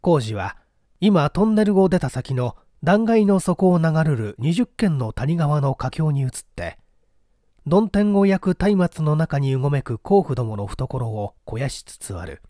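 Man narrating fiction with lively swooping pitch. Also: a little slow, no room sound, clean studio sound. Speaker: audiobook narrator, stage performer. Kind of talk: dramatic scripted literary narration.

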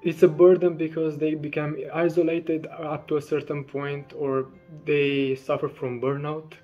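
A young man from Romania answers bluntly and plainly into a webcam indoors. His voice sits a little high for a man, and the dull, lo-fi webcam audio has faint music behind it. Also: little pitch movement, a little slow, darkish tone. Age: young adult. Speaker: interviewee. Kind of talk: prepared video statement. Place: room indoors.

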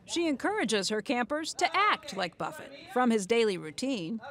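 A woman's voice: on the high side, sing-song, normal speed, noisy background. An American woman reading scripted documentary narration.

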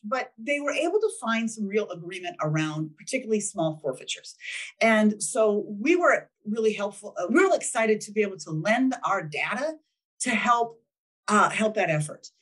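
A woman's voice: fairly high pitch, very animated, moderate pace, rough recording. A middle-aged woman answering interview questions over a video call, upbeat and conversational, explaining with figures. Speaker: guest, state official. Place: home room, video call.

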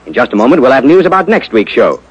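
1950s radio announcer, American male, mid-pitched, very animated, formal and polished sales delivery. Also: fast talker, breathy edge, muffled recording. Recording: vintage 1950s radio broadcast.

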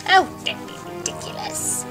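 A woman's voice: moderate pace, a bit bright, soft background music, close to an everyday voice. A woman voicing a wicked, gloating sea witch in a toy play story. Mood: mocking. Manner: sneering, cackling.

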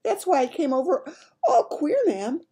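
A woman's voice: high-pitched, big pitch swings, normal speed, dark tone, pitched above the performer's own voice. A woman voicing an anxious, deferential royal maid in a storybook, flustered and quivering. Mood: anxious, insistent.

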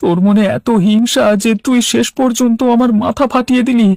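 A man's voice: very high-pitched, steady tone, a little fast, gravelly, a little mellow, dry recording, studio quality. A man voicing a plaintive, dejected village fisherman. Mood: hurt, indignant. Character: village fisherman husband, dejected, patient, aggrieved. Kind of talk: Bengali cartoon voice acting.

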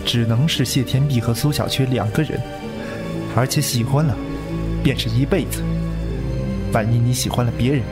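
A man's voice: mid-range voice, moderate pace, long pauses, over loud music, close to an everyday voice. A man playing a possessive, coolly intense young heir of a martial-arts sect in a Chinese wuxia audio drama. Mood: intense, devoted.

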